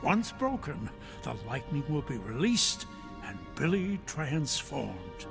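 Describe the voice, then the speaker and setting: An actor voicing a solemn, dry-witted ancient wizard in a cartoon, speaking in a slow, grave way over music. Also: male voice, a little high, huge pitch swings, gravelly, full character voice. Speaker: cartoon character in a clip.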